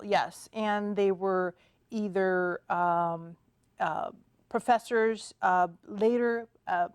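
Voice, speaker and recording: A middle-aged woman from Iran, a podiatrist guest on a TV studio talk show, speaking in a measured way with many "uh" and "um" pauses. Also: medium pitch, clear, resonant tone, no room sound. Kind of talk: TV studio interview. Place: TV studio set.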